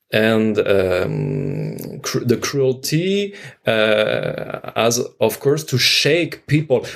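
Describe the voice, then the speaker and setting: A man's voice: medium pitch, vocal fry. A man with a French accent, an actor being interviewed, speaking reflectively and searching for his words as he goes. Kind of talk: unscripted reflective discussion.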